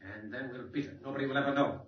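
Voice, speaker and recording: A man's voice: mid-range voice, fairly even intonation, quick pace, distant mic, slight background noise, lightly acted. A man playing a cool menacing radio villain. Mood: scheming. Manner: coolly menacing, clipped commands. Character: ruthless smooth-talking male criminal, middle-aged. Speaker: villain Bart. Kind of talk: 1940s radio acting. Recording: dull old radio recording with roomy echo from off-mic.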